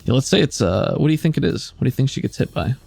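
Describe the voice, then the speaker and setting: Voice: mid-range voice, animated, racing, gravelly, a little mellow, husky, no echo, soft background music. A game master casually narrating a tabletop roleplaying game, improvising in a conversational way.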